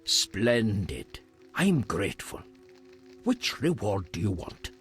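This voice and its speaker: An actor playing a solemn, reverent hermit in a fantasy video game, with formal, measured phrasing and a husky voice. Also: male voice, on the low side, wildly swooping pitch, faint music behind, exaggerated voice. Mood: courteous.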